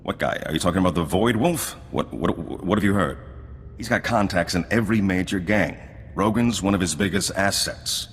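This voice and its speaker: An actor playing a roguish, flirtatious smuggler in a video game, with a wry, theatrical delivery. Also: male voice, low-pitched, normal speed, a little hiss or hum. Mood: urgent. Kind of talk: video game cutscene acting. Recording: long hall reverb, faint music behind.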